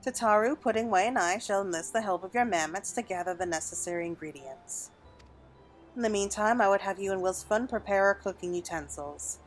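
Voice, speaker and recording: A woman voicing a kindly matronly game character with formal, measured, old-fashioned speech. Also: medium pitch, natural voice. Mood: composed, instructive. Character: kindly matronly woman sharing family recipes. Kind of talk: video game dialogue read aloud. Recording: background music under the voice.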